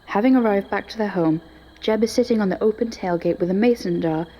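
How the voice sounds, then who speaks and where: A woman's voice: mid-range voice, a little fast, mellow, dull audio. A woman reading scripted story narration.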